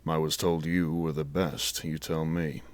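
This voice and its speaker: A man's voice: rumbling low, flat delivery, a little slow, no echo. An actor playing a firm, intimidating admiral in his fifties in an audio drama, terse and controlled. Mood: firm, guarded.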